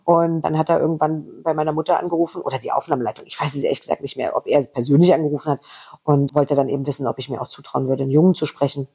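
Chatty husky woman with Berlin traces. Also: low voice, steady tone, dark tone. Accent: slight Berlin. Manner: chatty, fast, rambling anecdotes. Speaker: voice actress guest. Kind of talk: casual interview chat.

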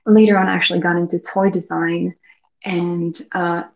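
A woman's voice: lowish voice, little pitch movement, moderate pace. A woman from the Czech Republic, a guest fashion designer, speaking earnestly with some hesitation. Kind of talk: casual conversation.

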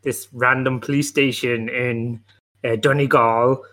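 A man's voice: medium pitch, level tone, measured pace, sharp tone. A man acts out a police detective in a comic radio play. He is mock-stern and patronising, rambling through his interrogation in a folksy, stop-start way. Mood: officious, stern.

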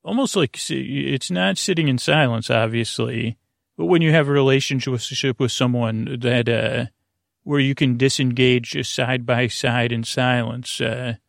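A man delivers a rambling bedtime monologue as a podcast host meant to lull listeners to sleep. His mid-pitched voice is full of creak and fry. He meanders haltingly, circling back to correct his own words, in a very dry recording with no room sound. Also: fairly even intonation, no room sound. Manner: meandering, halting, self-correcting, drifting into tangents.

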